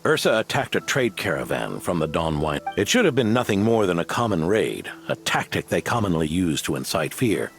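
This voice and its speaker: Deep, gravelly old knight. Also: male voice, big pitch swings, normal speed, hardly any pauses, a bit bright, exaggerated voice. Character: old knight, weathered, wry and solemn storyteller. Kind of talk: video game voice acting. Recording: game music behind the voice.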